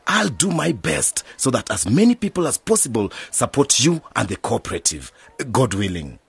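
A very husky middle-aged village uncle in a radio drama. He is wary and protective, and his pitch swings widely as he presses each point. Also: big pitch swings, normal speed, no echo, exaggerated voice. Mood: encouraging.

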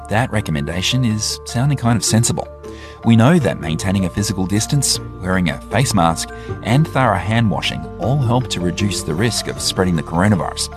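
A man narrating a news report in a scripted way, linking interview clips. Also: sits low.

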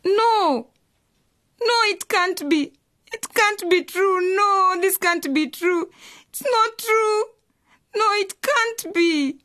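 A woman's voice: very high voice, slow, fairly bright tone, heavily acted. An actress playing a cheeky young girl who teases her brother in a radio drama. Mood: disbelieving, distraught. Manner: teasing, sassy. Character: cheeky teasing young sister of a teenage boy.

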